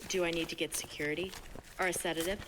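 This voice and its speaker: A woman's voice: fairly low pitch, fairly even intonation, fast, a bit bright, slight background noise, natural voice. A woman playing a threatening, snide nurse in a horror audio drama. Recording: long reverb.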